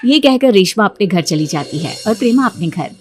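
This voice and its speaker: A woman's voice: mid-range voice, lively intonation, brisk, darkish tone. A woman reading scripted story narration as the narrator of a moral tale, over music.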